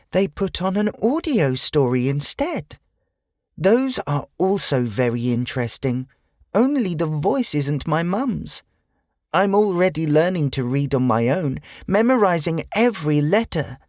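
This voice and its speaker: A woman acts out a kind, cheerful little girl from a children's storybook. She chirps along earnestly with a very lively, bouncing melody. The sound is dry and close. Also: on the low side, a little slow, no echo. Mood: excited delight. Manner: chirpy, wide-eyed, earnest. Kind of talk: children's storybook character acting.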